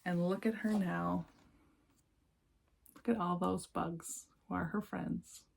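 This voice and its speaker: A woman reading a picture book aloud expressively, with gentle, encouraging asides spoken directly to young listeners. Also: fairly low pitch, steady tone, slow pace, creaky, a little hiss or hum. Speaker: children's read-aloud presenter.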